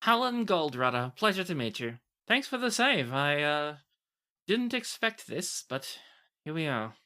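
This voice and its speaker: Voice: medium pitch, sing-song, unhurried, bright, forceful tone, pitched above the performer's own voice. A game master voicing a blunt, kindly, chatty halfling woman alchemist in a tabletop game, given to folksy exclamations. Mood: warm, wry.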